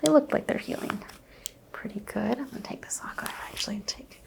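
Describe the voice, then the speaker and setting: A woman whispering gently as a doctor in an ASMR medical exam roleplay, asking soft questions. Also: on the high side, a little fast, creaky.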